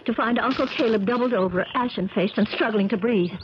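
An actress playing an anxious, caring niece who narrates and acts in a 1970s radio mystery. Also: female voice, on the high side, brisk, natural voice. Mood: tense storytelling. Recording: muffled old radio recording, crackle.

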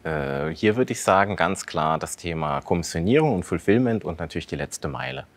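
A man's voice: deep voice, lively intonation, a little fast. A man in his thirties explaining things as an expert panel guest, conversational and structured, posing rhetorical questions. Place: large dark indoor studio showroom.

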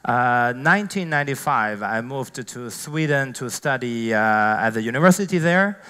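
A man from Beijing in his forties speaks on a conference stage, telling his story in a relaxed way. His voice is bright and clear, and it rings through a large hall with a long reverb. Chinese is his first language. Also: medium pitch, normal speed. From Beijing, China. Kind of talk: keynote speech.

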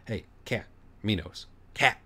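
An exasperated, scheming male writer in a storybook acted out by a man. He talks urgently and impatiently in a lowish voice, swearing freely. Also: animated, slow pace, pushed and bright, breathy edge, heavily acted.

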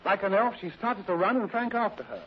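A man plays a genial, aging major with a high voice. His delivery is effusive, theatrical 1930s radio acting. The recording is dull and slightly noisy. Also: fast talker, natural voice. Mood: effusive, weary.

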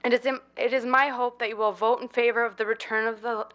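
A woman's voice: on the high side, level tone, fast, a bit bright. A woman reading a solemn, formal prepared statement at a city council meeting. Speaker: public commenter from the donor family.

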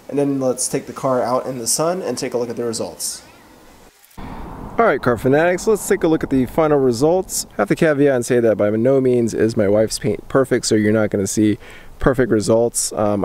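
A man's voice: mid-range voice, slight background noise. A man in his thirties hosting a casual how-to video about washing a car, talking plainly and practically in his garage. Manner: relaxed, plain-spoken, practical. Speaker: car care channel host. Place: home garage and parking lot.

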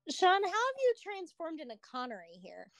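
A woman's voice: very high-pitched, wildly swooping pitch, moderate pace, over loud music. A brash, sweary woman giving casual, joking commentary.

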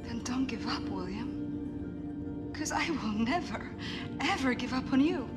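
A woman's voice: high-pitched, expressive, takes it slowly, loud music behind the voice. An actress playing a devoted, emotional woman in a video game drama, earnest and tender with her soldier lover. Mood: tender, pleading. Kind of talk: video game cutscene acting.

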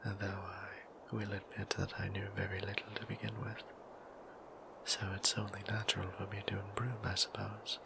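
A man voicing a gentle, formal male vampire priest in a whispered ASMR roleplay, speaking in old-fashioned, softly teasing phrasing. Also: on the low side, completely flat delivery, normal speed, noisy background. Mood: tender. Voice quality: soft whisper, gravelly. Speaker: voice actor.

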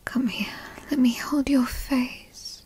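A woman playing a doting, possessive yandere girlfriend who teases and coos in a soft, breathy ASMR roleplay whisper, close to the mic. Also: high voice, steady tone, heavily acted. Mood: possessive, soothing. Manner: slow, breathy, cooing, teasing. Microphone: close microphone, dry.

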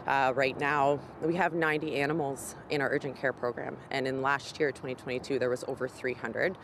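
A man speaking for an animal shelter in an interview, measured and explanatory. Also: mid-range voice, level tone, noisy background.

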